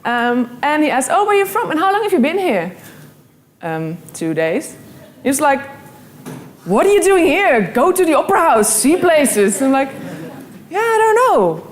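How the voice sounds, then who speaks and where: A woman's voice: high voice, sing-song, moderate pace, a little hiss or hum. A young woman from the Netherlands with a Dutch accent, giving an earnest, story-driven motivational talk to an audience. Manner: earnest, storytelling, quotes her own thoughts.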